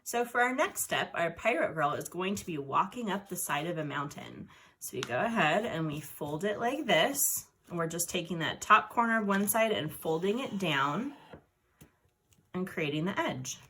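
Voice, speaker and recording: A woman's voice: on the low side, animated, normal speed, fairly bright tone. A woman art teacher talking children through a craft step by step in an informal way. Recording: noticeable background noise.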